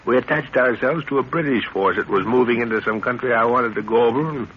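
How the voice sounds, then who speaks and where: An actor playing a middle-aged professor and explorer, a fatherly and knowing leader with a measured, authoritative manner, in 1930s radio drama style. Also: mid-range voice, natural voice. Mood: wry. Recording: dull, hissy 1930s radio recording.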